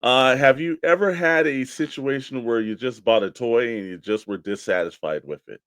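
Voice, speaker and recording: A man's voice: medium pitch, normal speed, a bit bright, no echo. A man co-hosting a hobby show in casual discussion, with a loose, meandering way of phrasing things. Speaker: co-host of a toy-collecting show.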